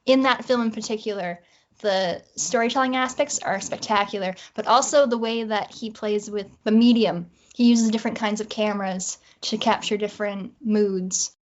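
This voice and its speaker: A woman's voice: medium pitch, steady tone, normal speed. A woman talking casually as a guest in an interview about writing. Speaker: interview guest, audio drama writer. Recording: slightly dull, lo-fi audio.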